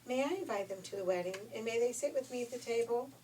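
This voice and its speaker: A woman's voice: a little high, quick pace, mellow, pitched above the performer's own voice, natural voice. A woman reads a poor, earnest young storybook girl. The voice is plaintive and somewhat raised, a little distant from the mic with noise in the room. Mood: polite, earnest.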